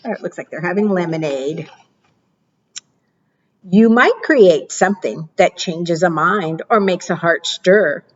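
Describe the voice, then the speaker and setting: A woman librarian reading picture books aloud to preschoolers, with expressive, animated delivery, asking the children questions and praising their answers. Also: a little slow, highs cut off. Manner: expressive, animated read-aloud with playful pitch jumps.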